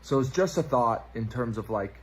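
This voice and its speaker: A man in his thirties giving a reflective, measured monologue as a guest on a livestream. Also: mid-range voice, lively intonation, low-quality recording. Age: 30s. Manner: thoughtful, measured.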